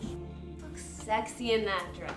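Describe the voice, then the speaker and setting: A woman's voice: mid-range voice, unhurried, echoey room, recorded from a distance, over loud music, close to an everyday voice. A woman acting in a comedic drama, bossy and gossipy in manner.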